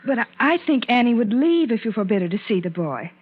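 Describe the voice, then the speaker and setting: An actress playing a gentle, hesitant young secretary companion in a 1940s radio drama. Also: female voice, on the high side, very animated, quick pace. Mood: meek, hesitant. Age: young adult. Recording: tinny, noisy vintage 1940s radio recording.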